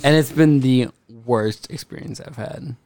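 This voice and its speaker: A young man, drunk and loose, chatting with friends on a podcast. He rambles, swears freely and talks at an ordinary pitch. A faint hiss sits under the recording. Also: measured pace. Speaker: podcast guest. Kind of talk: casual drunken chat.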